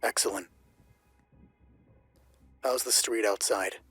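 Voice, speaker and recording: Man playing a low, terse squad captain. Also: steady tone, takes it slowly, fairly bright tone, breathy edge, no echo, full character voice. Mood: measured, probing. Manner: terse, commanding. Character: stern, clipped military squad captain, adult man. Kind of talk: tabletop roleplay character voice.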